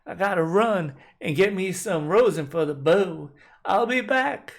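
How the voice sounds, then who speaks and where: A man's voice: high-pitched, lively intonation, unhurried, gravelly, exaggerated voice. A man voicing a poor frightened fiddler, stammering and polite, in a folk tale. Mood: nervous, apologetic.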